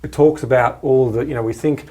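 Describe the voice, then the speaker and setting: A man's voice: mid-range voice, fairly even intonation, brisk, dark tone. A man with an Australian accent chatting enthusiastically as a podcast co-host in a casual style. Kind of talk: casual chat.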